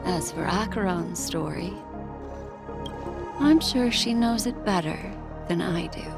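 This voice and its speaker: A woman's voice: fairly low pitch, takes it slowly, vocal fry. A woman voicing a poised, mysterious woman who reads memories, speaking in a polished, courteous, lightly teasing way. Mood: composed. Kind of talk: video game voice acting. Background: game music.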